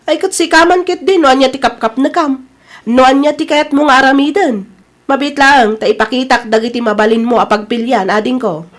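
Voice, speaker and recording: A woman acts a supportive big-sister type in an Ilocano radio drama. Her voice sits a bit high, and she advises in an encouraging way with an Ilocano accent. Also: fairly quick, natural voice. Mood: helpful, advising. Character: supportive older-sister figure advising a young man.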